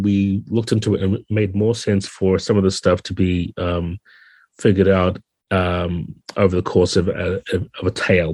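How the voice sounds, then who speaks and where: Male interview guest: very low, dark voice with some huskiness, thoughtful explainer, dry recording. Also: breathy edge, dry recording. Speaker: podcast guest, game designer.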